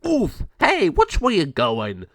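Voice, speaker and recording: A man voicing a rowdy male gargoyle henchman with boisterous, gleeful shouting. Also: high-pitched, huge pitch swings, slow, no room sound, clean studio sound, voice pushed up, heavily acted.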